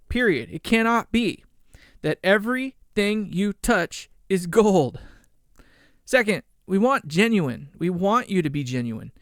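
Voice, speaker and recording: A man with a high-pitched voice, ranting bluntly in a vlog with casual swearing. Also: fairly bright tone, smooth, dry recording, clean studio sound. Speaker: gear channel vlogger. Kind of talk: opinion monologue vlog.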